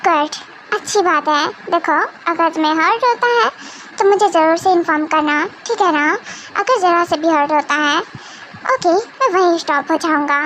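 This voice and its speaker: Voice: very high voice, normal speed, sharp tone, slight background noise, poor audio. A performer voicing a protective, conflicted young husband, tender and hesitant, in an amateur romantic audio drama. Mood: coaxing, serious.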